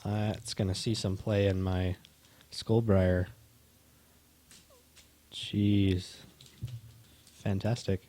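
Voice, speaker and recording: A man co-hosting a casual stream, chatting in a relaxed, halting way with frequent restarts. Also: sits low. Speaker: co-host opening card packs. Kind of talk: casual chat.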